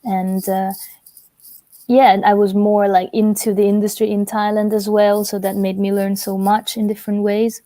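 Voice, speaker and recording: Young woman from italy, chatty and relaxed, lots of likes and kindas, mellow voice, dry sound. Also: mid-range voice, normal speed, no echo. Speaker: guest singer. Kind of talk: casual interview chat.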